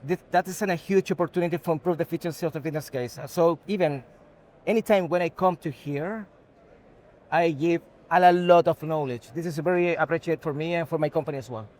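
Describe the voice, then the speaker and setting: A man with a Chilean Spanish accent and a fairly high voice speaks as an executive being interviewed. His English is a second language, with halting, non-native phrasing as he works through unscripted answers. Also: darkish tone.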